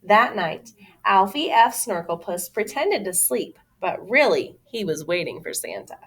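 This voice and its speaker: A woman reads a picture book aloud for children. Her delivery is dramatic and very lively in pitch, moving at an unhurried pace with clear expressive emphasis. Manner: dramatic, exclaiming. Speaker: teacher reading aloud.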